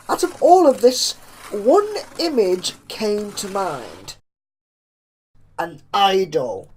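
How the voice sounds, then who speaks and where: A woman reading a horror story aloud as a narrator, with deliberate, theatrical emphasis. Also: mid-range voice, darkish tone, a little hiss or hum, low-quality recording. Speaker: narrator reading fan fiction.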